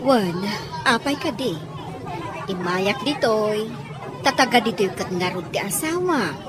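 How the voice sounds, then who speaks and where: A woman's voice: mid-range voice, expressive, unhurried, a crowd in the background, noisy background, natural voice. A woman with an Ilocano accent playing a flustered, evasive mother in an audio drama. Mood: puzzled. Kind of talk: radio drama acting.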